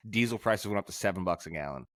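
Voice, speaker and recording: A man's voice: deep voice, lively intonation, quick pace, fairly bright tone, dry recording, studio quality. A man co-hosting a podcast, casual and laid-back, telling stories with some swearing. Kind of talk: casual chat.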